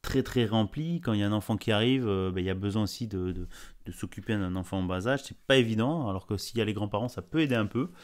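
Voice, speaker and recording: A man's voice: on the low side, fast. A man hosting a podcast interview, chatty and full of fillers and friendly digressions. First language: bilingual French-Spanish. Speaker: podcast host. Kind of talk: casual interview chat.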